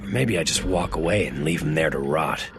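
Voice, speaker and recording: An actor playing a brooding young antihero in a game, gruff and terse, narrating in a hard-boiled, cynical way. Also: male voice, very deep voice, brisk, background noise. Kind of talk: scripted game narration and dialogue. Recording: loud game music behind the voice.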